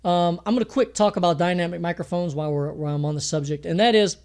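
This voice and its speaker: A man's voice: sits high, quick pace, a little mellow. A man with a thin voice teaching mixing in a home studio, talking casually with fillers and restarts. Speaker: music producer teaching mixing. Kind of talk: screen-recorded tutorial talk.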